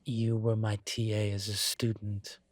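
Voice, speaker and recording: A man voicing a suave, teasing incubus professor in an intimate audio roleplay, courteous and measured, with deliberate pauses. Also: lowish voice, completely flat delivery, no room sound. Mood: measured, candid. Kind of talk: intimate one-sided audio roleplay acting.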